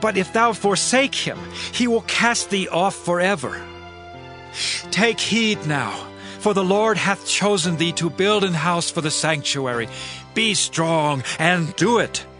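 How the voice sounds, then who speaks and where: An aging king in a dramatized scripture production. His voice sits fairly high as he proclaims with solemn, commanding weight and measured emphasis. Music plays clearly behind him. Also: lively intonation. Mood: stern, urgent. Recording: voice over prominent music bed. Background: background music.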